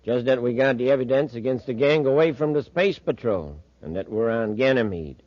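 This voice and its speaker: Actor as bossy space gangster, muffled radio. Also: male voice, medium pitch, expressive, brisk, no echo, lightly acted. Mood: conspiratorial. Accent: American. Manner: threatening, bossy. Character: menacing male gang boss's henchman, impatient schemer. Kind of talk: 1950s radio serial acting. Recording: dull, dry 1950s radio recording.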